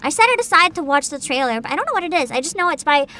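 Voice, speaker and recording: A woman with a high, bright voice hosts a casual livestream. Her pitch moves around a lot as she chats and reads aloud with bubbly enthusiasm. Soft music plays behind her. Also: sing-song, very fast. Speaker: virtual streamer host. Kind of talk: casual livestream chat, partly reading aloud.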